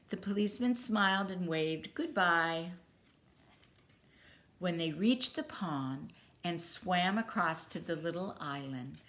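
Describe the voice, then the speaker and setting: Slow, lower-voiced woman reading a storybook. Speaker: storybook reader. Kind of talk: children's picture book read-aloud.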